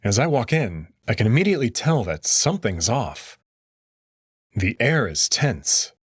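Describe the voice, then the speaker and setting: A man narrating a story in the first person in an expressive storytelling manner. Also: mid-range voice, unhurried, slightly rough, dry recording, studio quality. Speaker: audio drama narrator.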